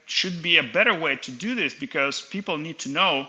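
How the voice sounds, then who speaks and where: Inventor guest, a man from Kazakhstan in his 30s; very bright voice, stop-start and hesitant. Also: fairly high pitch, expressive, normal speed, slightly rough. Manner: hesitant, many fillers and restarts. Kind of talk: casual interview talk.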